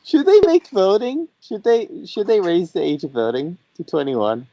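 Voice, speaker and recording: A man's voice: high-pitched, wildly swooping pitch, normal speed, warm tone, no echo, rough recording. A twenty-year-old man chatting casually as a podcast host, with a joking, teasing way of asking questions. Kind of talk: casual chat.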